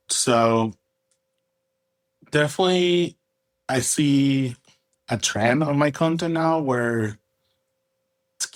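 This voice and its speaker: A man's voice: medium pitch, dry recording. Venezuelan-born man chatting casually, dry sound. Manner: relaxed, rambling, frequent "right?" tags. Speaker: guest, coffee roaster and content creator. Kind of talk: casual interview talk.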